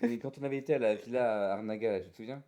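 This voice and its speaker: A man's voice: mid-range voice, rapid-fire, mellow. A young man co-hosting a casual podcast, goofy and self-mocking, laughing easily at himself. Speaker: podcast co-host. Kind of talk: casual chat.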